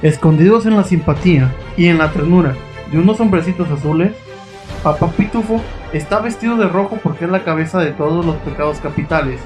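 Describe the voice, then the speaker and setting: A man delivers scripted narration in a fairly high register. His delivery is suspenseful and dramatic, addressing the listener directly over music. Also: a little fast. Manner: suspenseful, rhetorical questions to listeners.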